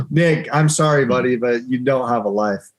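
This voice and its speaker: A man's voice: mid-range voice, moderate pace, slight background noise. Casual teasing male trading host. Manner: casual, teasing, laughs often. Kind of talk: live trading chat.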